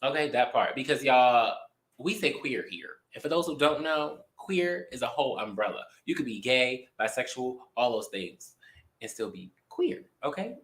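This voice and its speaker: A man co-hosting a casual talk show, talking in an animated, expressive way with drawn-out emphasis. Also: mid-range voice. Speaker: podcast co-host. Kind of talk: casual chat. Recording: echoey room.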